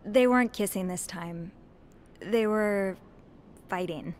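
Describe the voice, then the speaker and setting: An actress playing a friendly, inquisitive young hotel maid in a video game, talking casually with a slightly hesitant, teasing manner. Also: female voice, medium pitch, slow, lightly acted. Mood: puzzled, earnest. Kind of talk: natural conversational game acting.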